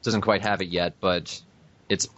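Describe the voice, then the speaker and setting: A man's voice: low voice, steady tone, unhurried, a bit bright. A man co-hosting a fan podcast in casual chat over a voice call. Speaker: podcast co-host. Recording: dull lo-fi internet call.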